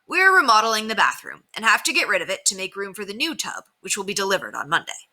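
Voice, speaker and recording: A woman's voice: mid-range voice, big pitch swings, fast talker, bright, forceful tone, heavily acted. A woman voicing an agreeable, hardworking male neighbor in a children's storybook, easygoing and hearty.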